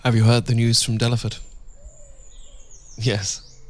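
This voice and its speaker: A British man playing a tender, devoted Regency gentleman husband in an intimate ASMR roleplay, speaking gently and a little hesitantly in formal period phrasing. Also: medium pitch, flat delivery, measured pace, lightly acted. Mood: gentle, chatty. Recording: outdoor birdsong ambience behind voice. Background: birdsong and outdoor ambience.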